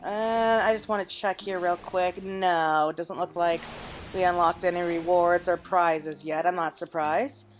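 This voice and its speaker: A woman's voice: on the low side, steady tone, a little slow, rough recording. A woman giving casual let's-play commentary, chatting and musing aloud to her viewers as the host. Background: game music.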